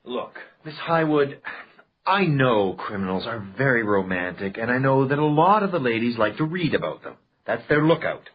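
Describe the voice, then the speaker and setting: Casting: a blunt, moralizing old-time sergeant with lively inflection; detective radio play, lo-fi recording. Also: male voice, medium pitch, moderate pace, slightly rough. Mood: stern, exasperated. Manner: blunt, stern, lecturing. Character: stubborn, moralizing male police sergeant of the 1920s. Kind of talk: detective radio drama acting.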